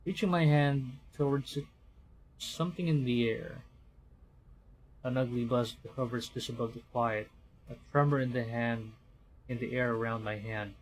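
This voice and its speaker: A man's voice: mid-range voice, steady tone, moderate pace, long pauses, rough recording. A man from the Philippines, a streamer reading a horror visual novel aloud in a dramatic storytelling manner, with commentary.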